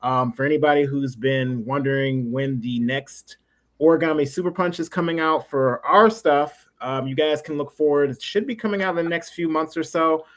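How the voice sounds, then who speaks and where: A man's voice: a little high, normal speed, fairly bright tone. A man hosting a casual livestream interview, talking in a rambling, encouraging way and often searching for words. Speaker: livestream show host.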